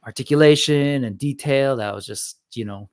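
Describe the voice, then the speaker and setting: A man in his forties hosts a casual chat, with an ordinary mid-range pitch. He rambles easily, trailing into fillers and restarting sentences. The sound is dry and close, with no room around it. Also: moderate pace, no room sound. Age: 40s. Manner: relaxed, chatty, lots of fillers and restarts. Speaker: host. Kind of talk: casual chat on a live stream.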